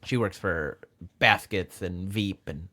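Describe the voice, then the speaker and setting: A man's voice: low voice, slow pace, sharp tone. An American man with a lisp and a childlike-sounding voice, a comedian guest telling casual, self-deprecating stories and acting out quoted dialogue. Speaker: stand-up comedian, podcast guest.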